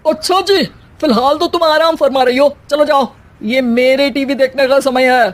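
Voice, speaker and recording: A middle-aged mother-in-law in a cartoon family comedy, voiced by a woman. Her voice is fairly high, and she scolds and bickers with dramatic flair. She comes across as bossy and sharp-tongued. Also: steady tone, moderate pace, close to an everyday voice. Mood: irritated, bossy. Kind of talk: animated family comedy story acting.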